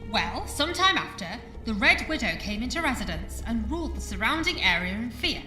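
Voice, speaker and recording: A woman playing a brisk, bossy television ghost-hunt presenter in an audio drama. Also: fairly high pitch, sing-song, sharp tone. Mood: dramatic narrating. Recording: roomy echo, faint music behind.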